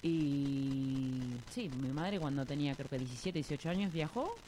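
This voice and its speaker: A woman's voice: very deep voice, expressive, moderate pace, warm tone, a little hiss or hum. A woman with an Argentine accent telling her story casually and a little hesitantly as an interview guest. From Buenos Aires province, Argentina.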